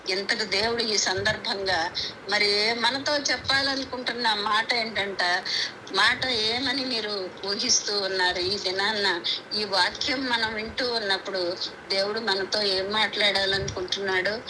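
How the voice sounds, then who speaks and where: A woman preaches a devotional sermon in an earnest, instructive manner, stressing key phrases through repetition, over a conference call. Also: mid-range voice. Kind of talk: devotional sermon with scripture readings. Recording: clearly noisy conference call audio.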